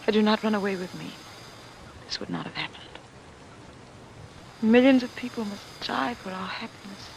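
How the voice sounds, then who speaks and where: An actress playing a weary, guilt-stricken runaway wife in old-time radio drama style, solemn and brooding with formal phrasing. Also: female voice, medium pitch, moderate pace, pauses often, lightly acted. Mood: guilty, fearful. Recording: noisy vintage radio drama broadcast.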